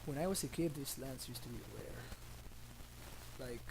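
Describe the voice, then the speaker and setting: A man's voice: mid-range voice, unhurried, creaky, noisy background. A man in his thirties from Argentina, streaming and commenting casually on his card game as he plays, thinking aloud with many fillers, on a headset in a small room.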